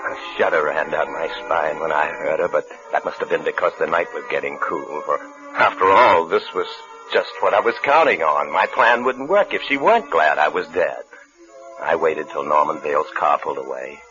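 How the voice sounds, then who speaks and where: Deep-voiced, wry scheming husband. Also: male voice, normal speed, over music. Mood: wry, reflective. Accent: American. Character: cool, wryly scheming husband and fugitive killer, narrating. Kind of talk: 1950s radio suspense acting with narration. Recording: muffled old radio recording.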